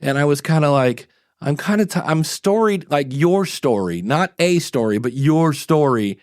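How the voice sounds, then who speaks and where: A man's voice: on the high side, animated, normal speed, a little mellow, no room sound, clean studio sound. A man hosting a podcast, giving his opinions in a casual, rambling way. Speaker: podcast host.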